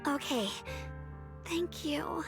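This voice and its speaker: Gentle anime game girl: high, youthful, earnest and hesitant, with music underneath. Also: drawn-out words, gravelly, full character voice. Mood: relieved, shy. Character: gentle, apologetic girl hiding a worsening secret. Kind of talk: anime video game voice acting.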